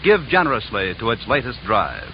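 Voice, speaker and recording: A man's voice: mid-range voice, big pitch swings, normal speed, a little hiss or hum. A man with an American accent reading scripted announcements in 1950s network radio style. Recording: dull vintage radio recording with music.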